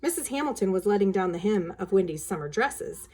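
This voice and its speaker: A woman reading a children's story aloud in an expressive narrating style, with casual asides. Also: medium pitch, brisk, husky.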